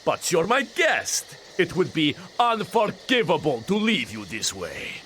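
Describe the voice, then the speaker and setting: An actor playing a theatrical, aristocratic vampire villain in a video game, pompous, florid and mockingly courteous. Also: male voice, on the high side, huge pitch swings, unhurried, bright, forceful tone, a little hiss or hum, full character voice. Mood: mock-polite. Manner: pompous, florid, mock-polite delivery. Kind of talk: video game cutscene acting. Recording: music behind the voice.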